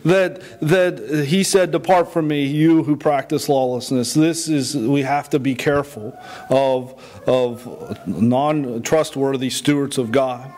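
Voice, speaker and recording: A man's voice: mid-range voice, slow pace, darkish tone. A man in his forties preaching a sermon and reading scripture, often repeating words and stumbling as he goes. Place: indoor church, at a wooden pulpit.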